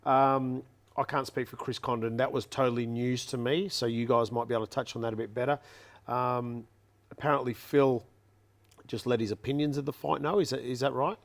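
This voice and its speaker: A man co-hosting a boxing show, talking in a relaxed, hedging way. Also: medium pitch, fairly quick. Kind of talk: casual sports chat.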